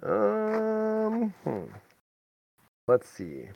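A man's voice: sits high, wildly swooping pitch, very slow, vocal fry, muffled recording, a little hiss or hum. A man hosting a podcast in casual, rambling chat, talking directly to listeners with folksy exclamations. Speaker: podcast host.

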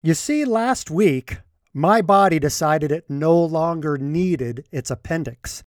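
A man hosts his podcast alone, his voice a little congested and his throat needing clearing now and then. He talks earnestly and with indignation, his pitch sitting a touch above the usual for a man. The sound is dry and close, with no room around it. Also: lively intonation, slow pace, dry recording. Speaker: podcast host. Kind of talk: solo podcast commentary.

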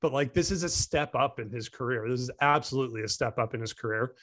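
A man's voice: mid-range voice, quick pace, a bit bright. A man co-hosting a sports podcast in easy, unscripted chat. He thinks aloud, often restarting sentences and leaning on fillers as he works through a point. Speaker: podcast co-host.

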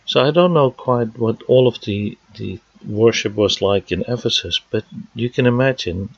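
A man from the Netherlands with a Dutch accent, preaching a sermon and working through scripture verse by verse, pausing with fillers as he explains. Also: mid-range voice, creaky, husky, no echo. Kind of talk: sermon teaching. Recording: dry, dull lo-fi sound.

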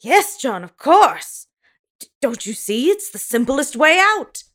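Woman playing a melodramatic lady doctor, swooping pitch. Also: very animated, slow, a bit bright, heavily acted. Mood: flustered. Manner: melodramatic, pompous. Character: flustered, self-important woman doctor in horror melodrama. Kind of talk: campy 1930s radio drama table read.

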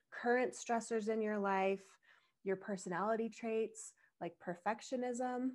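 A young adult woman speaks into a microphone for her own podcast. Her voice is slightly husky from recent strain. She explains things thoughtfully, sometimes laughing softly at herself. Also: medium pitch. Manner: reflective and explanatory, with small laughs. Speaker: podcast host. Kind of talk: solo podcast talk.

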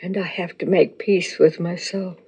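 An actress playing a dying, devout old grandmother making a guilt-ridden confession in a TV drama, weak, halting and solemn. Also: fairly low pitch, steady tone. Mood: grave, confessing. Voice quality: weak, breathy, husky. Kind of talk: television soap drama acting. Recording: soft music behind the dialogue.

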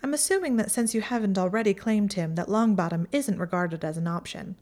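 A woman's voice: mid-range voice, brisk, darkish tone, pitched above the performer's own voice, natural voice. A woman voicing a haughty, mocking young witch who talks down to others in a condescending tone. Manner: condescending, sneering.

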